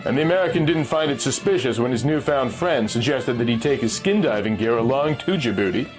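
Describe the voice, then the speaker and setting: A man's voice: mid-range voice, animated, a little fast. A man narrating a pulp adventure story from a script, as a storyteller reading aloud. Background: music.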